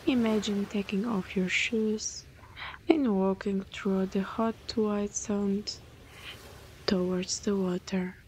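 A woman leading a guided meditation in a soothing, breathy voice that often softens almost to a whisper. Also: mid-range voice. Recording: clear background noise, ambient sound.

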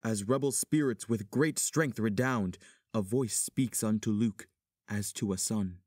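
A man's voice: on the low side, unhurried, a little mellow, slightly rough, dry recording, studio quality. A man giving scripted dramatic narration in old-fashioned verse as the chorus of a play.

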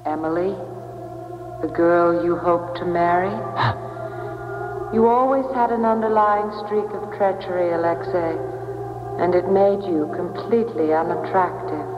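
An actress playing the calm, accusing ghost of a dead woman in a 1970s radio drama, speaking deliberately and knowingly. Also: fairly low pitch, lightly acted. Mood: cold, accusing. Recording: muffled old radio, long echoing reverb, music.

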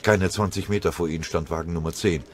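A man's voice: sits low, fairly even intonation, fast, slight background noise. A man reading a dramatic war story as scripted audiobook narration.

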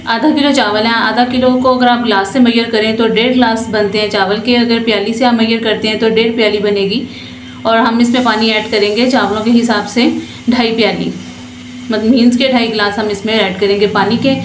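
A woman's voice: a little high, fairly even intonation, brisk. A woman hosting a home cooking channel, explaining each step in a chatty, instructive way and often adding short blessings. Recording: echoey kitchen with frying noise. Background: frying and stirring sounds.

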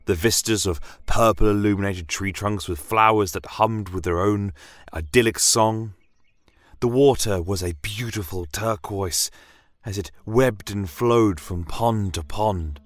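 A man playing a deep-voiced, brooding fantasy inquisitor. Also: no room sound, studio quality. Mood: reverent, wondering. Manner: measured, brooding, with deliberate pauses. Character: solemn male church inquisitor recounting a wondrous, troubling journey. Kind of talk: dramatic first-person fantasy audio narration. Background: soft fantasy ambience music.

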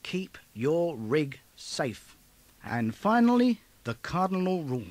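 Animated male instructional narrator, dry studio sound. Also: fairly high pitch, slow pace, no echo.

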